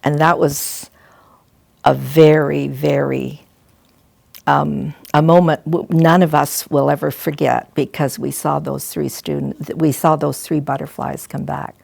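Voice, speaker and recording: An older woman, a retired school principal, telling gentle, halting stories and advice to students. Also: low voice, flat delivery, gravelly, no room sound. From Canada. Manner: gentle, halting, with frequent restarts and soft asides. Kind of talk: reflective storytelling to students.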